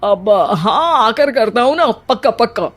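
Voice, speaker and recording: A man's voice: very high-pitched, lively intonation, unhurried, a bit bright, soft background music, full character voice. A male actor playing a flirtatious, vain and irritable retired husband in his fifties in a comedy family story, with theatrical exclamations. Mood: casual, evasive. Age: middle-aged.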